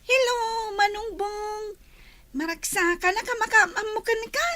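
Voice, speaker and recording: A woman's voice: very high voice, a little slow, sharp tone, dry recording, exaggerated voice. A woman with a Filipino accent playing a teasing, nosy little sister in a radio drama. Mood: curious, friendly. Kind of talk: Ilocano radio drama acting.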